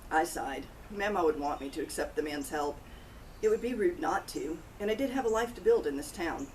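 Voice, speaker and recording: A woman's voice: sits low, lively intonation, brisk. A woman author reading first-person narration from her own cozy mystery novel. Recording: distant mic, loud outdoor noise, birds, crickets.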